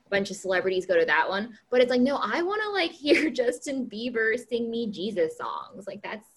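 A woman's voice: on the high side, sing-song, normal speed. A woman in her mid-thirties co-hosting a casual chat, bubbly and gushing, often giggling. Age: 30s.

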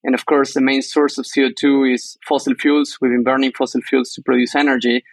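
A man's voice: medium pitch, flat delivery, brisk, creaky, no room sound. A man from Argentina with an Argentine Spanish accent, explaining things as an interview guest. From northern Argentina. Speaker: startup co-founder, interview guest.

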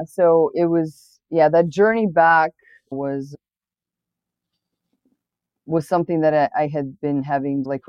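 A woman's voice: low voice, a little mellow, smooth, no room sound, muffled recording. A woman from Bulgaria whose first language is Bulgarian, speaking as a translator in an interview in a reflective, thoughtful way with frequent restarts. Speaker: interview guest, literary translator. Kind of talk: conversational interview.

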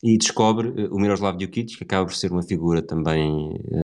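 Low-voiced Portuguese man recounting football history. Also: a little fast, darkish tone. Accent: European Portuguese. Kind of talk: conversational football history storytelling.

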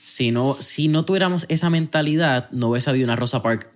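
A man's voice: mid-range voice, a little fast. Conversational man hosting a podcast. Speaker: podcast host. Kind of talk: casual interview chat.

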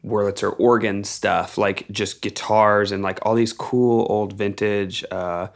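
Podcast guest: young American male musician, low-pitched with plenty of fry, informal rambling storyteller. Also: level tone, unhurried. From Texas, United States. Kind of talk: casual storytelling in an interview.

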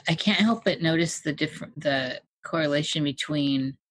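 A woman's voice: deep voice, moderate pace, no echo. A woman interviewing a guest, attentive and prompting him with short questions.

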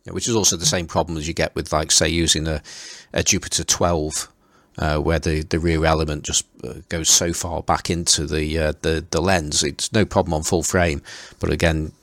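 A man's voice: a bass voice, little pitch movement, normal speed, fairly bright tone, no room sound, studio quality. A man hosting a casual chat, talking hesitantly and often repeating words while he thinks. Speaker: podcast host.

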